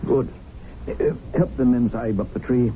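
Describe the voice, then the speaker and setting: An actor playing an experienced English tiger hunter in colonial India, explaining things and easily exasperated, in a radio drama. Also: male voice, mid-range voice, steady tone, moderate pace, lightly acted. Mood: calm, instructive. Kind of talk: 1970s radio drama acting. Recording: narrow-band old radio broadcast, faint noise.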